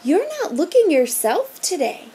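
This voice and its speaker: A woman's voice: sits high, big pitch swings, takes it slowly, mellow, pitched above the performer's own voice, full character voice. A woman voicing a flustered middle-aged male school principal in a storybook reading, stammering at times. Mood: surprised.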